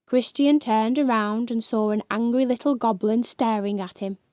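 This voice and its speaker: A woman's voice: a little high, moderate pace, warm tone, no room sound, clean studio sound. A woman storyteller reading a children's bedtime story aloud.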